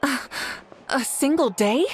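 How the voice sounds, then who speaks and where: A woman voicing a calm, confident woman fire ruler in a game, speaking in a measured, composed way. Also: sits high, noisy background.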